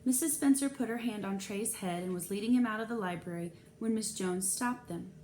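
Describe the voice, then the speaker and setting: A woman reads a children's novel aloud as a storyteller. Her voice is medium in pitch, and there is some noise in the recording. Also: a little fast, warm tone. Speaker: storybook reader. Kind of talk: expressive read-aloud narration of a children's novel. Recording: clear background noise.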